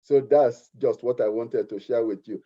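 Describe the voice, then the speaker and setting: A middle-aged man with a Nigerian accent, speaking earnestly at a community meeting and often repeating his words. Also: medium pitch. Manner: earnest, repeats himself, deliberate. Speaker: community speaker, art teacher. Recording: muffled lo-fi recording.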